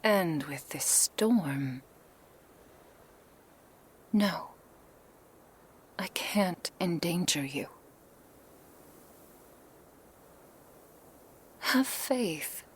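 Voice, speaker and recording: A woman's voice: fairly low pitch, expressive, very slow, pauses often, slight background noise. A woman playing a doting, possessive kitsune witch in a whispered ASMR roleplay, speaking tenderly in formal, archaic phrases. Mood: pained, tender.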